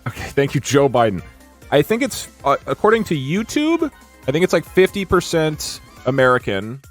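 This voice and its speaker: Man, streamer, casual rambling chat, animated pitch, faint music behind. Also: normal speed. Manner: rambling, animated, frequent restarts and fillers. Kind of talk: casual chat reacting to viewer comments. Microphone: desk mic at a green screen setup.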